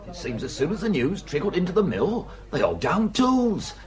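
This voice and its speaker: Gossipy cheery pub landlord in a radio play, voice a bit high, bouncy pitch. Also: male voice, huge pitch swings, moderate pace, darkish tone. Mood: jovial. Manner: jovial, chatty. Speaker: actor in a radio drama.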